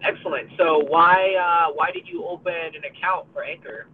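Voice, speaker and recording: A young American man in his college years talks in a friendly, upbeat way, stumbling into restarts and fillers. His voice sits a little high. It comes through a narrow, tinny line with a faint hiss behind it. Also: fairly even intonation, normal speed. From United States. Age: college age. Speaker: podcast co-host. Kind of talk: casual conversation.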